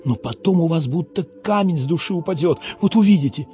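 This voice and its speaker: A timid young clerk, voiced by a male narrator, speaks earnestly and deferentially in a fairly high, lively voice. His nervous politeness marks him as a junior official in an audiobook. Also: a little fast, faint music behind, close to an everyday voice. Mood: earnest pleading.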